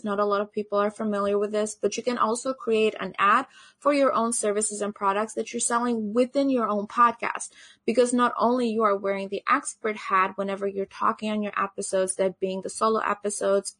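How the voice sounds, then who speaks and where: A woman's voice: fairly high pitch, fairly bright tone, no echo. Woman giving a talk. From Albania. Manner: earnest, repeats "actually" and "you know". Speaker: podcast host presenting at a summit. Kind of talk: online conference presentation.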